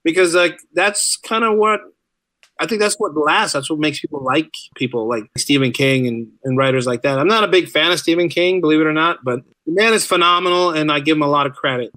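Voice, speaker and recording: A man speaking casually as a podcast guest, telling stories in an animated, rambling way. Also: on the high side, brisk, no echo. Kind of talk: casual interview chat.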